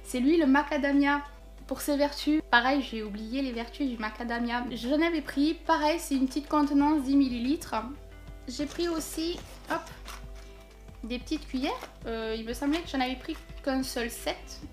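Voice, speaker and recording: High-voiced chatty woman unboxing. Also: moderate pace, soft background music. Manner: chatty, thinking aloud with many fillers. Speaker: vlogger unboxing a package. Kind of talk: casual unboxing chat.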